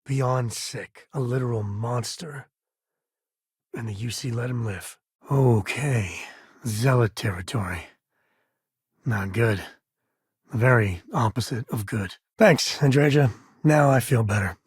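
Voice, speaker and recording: A male actor playing a wry, rough-edged space cowboy in a video game, with a husky, raspy voice and a dry, folksy manner. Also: mid-range voice, slow pace, long pauses, no echo. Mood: serious. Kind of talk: game character dialogue lines.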